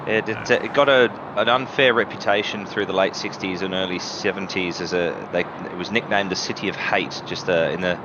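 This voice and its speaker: A man's voice: low-pitched, steady tone, moderate pace, few pauses. A man co-hosting a live stream in casual, earnest chat. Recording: dull lo-fi remote link, loud vehicle noise behind.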